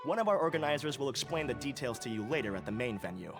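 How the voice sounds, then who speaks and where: A man playing a courteous, reassuring academy official in a video game, polite and measured. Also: mid-range voice, expressive, natural voice. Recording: clear music under the voice.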